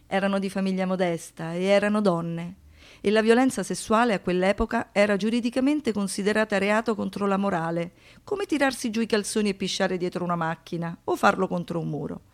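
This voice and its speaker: Radio theatre narrator: actress playing female storyteller, medium pitch, deliberate phrasing, dryly ironic. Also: close to an everyday voice. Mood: grave, ironic. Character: woman narrator recalling seventies youth and crime.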